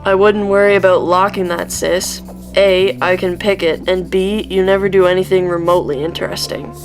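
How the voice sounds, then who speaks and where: A woman's voice: fairly low pitch, steady tone, normal speed, close to an everyday voice, rough recording. A woman playing a scornful, sarcastic teenage older sister in a radio drama. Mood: snide, scornful. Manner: snide, sarcastic. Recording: music under the voice.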